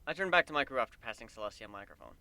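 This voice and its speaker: A man's voice: mid-range voice, expressive, very fast, bright, forceful tone, no echo. A man giving a dramatic first-person reading of a story as its narrator.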